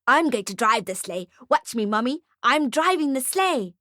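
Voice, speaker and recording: A woman voicing an excited, wide-eyed small boy with eager childlike exclamations in an audiobook story. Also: fairly high pitch, moderate pace, no echo, clean studio sound, pitched above the performer's own voice. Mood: gleeful.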